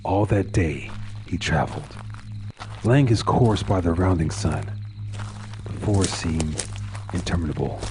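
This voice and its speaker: Very deep-voiced male storyteller over music. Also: a little slow, long pauses, low-quality recording. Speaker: horror story narrator. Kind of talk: dramatic scripted narration of a short story.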